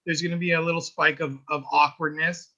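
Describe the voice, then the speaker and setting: A man with a raspy voice who works as an early intervention teacher, talking earnestly in a casual podcast chat and often repeating words as he finds his point. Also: fairly high pitch, level tone, fairly quick, pushed and bright, rough recording.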